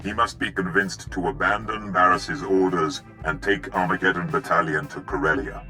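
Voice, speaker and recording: An actor playing a cold, commanding Sith in a video game, speaking in a solemn, formal, imperious way. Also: male voice, low-pitched, fairly even intonation, normal speed, sharp tone, faint music behind. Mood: grave, commanding. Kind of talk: video game dramatic acting.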